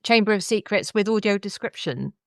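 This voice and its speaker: A woman podcast host with a smooth, clear voice. She talks in a conversational reviewing style, and the sound is close and dry. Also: medium pitch, expressive, fairly quick, dry recording.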